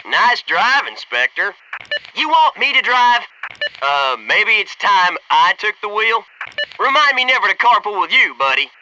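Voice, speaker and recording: A man's voice: sits high, very animated, a little slow, recorded from a distance, noisy background. A man voicing a cocky, wisecracking Navy SEAL squadmate in a military shooter video game, brash and sarcastic. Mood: wry. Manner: brash, jokey, sarcastic banter and crisp tactical calls.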